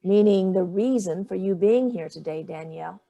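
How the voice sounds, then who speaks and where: A woman's voice: mid-range voice, normal speed, vocal fry, warm tone, lightly acted. A middle-aged woman playing a sardonic, wounded older mother in a staged play reading, with clipped, cutting delivery. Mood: sarcastic, cutting.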